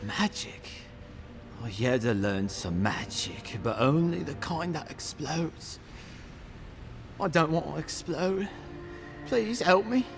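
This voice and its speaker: A man's voice: on the high side, animated, takes it slowly, vocal fry, long pauses, full character voice. An actor playing a wounded expedition member in a video game, a coarse, folksy grumbler who is bitter at his crew. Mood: pained, pleading. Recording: music behind the voice. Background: game music.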